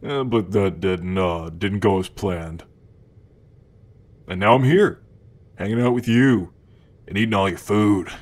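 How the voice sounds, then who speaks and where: A man's voice: deep voice, unhurried, long pauses. A man playing a cocky, food-obsessed male demon in an ASMR roleplay, talking to the listener in a casual, hesitant slacker drawl. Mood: playful boasting.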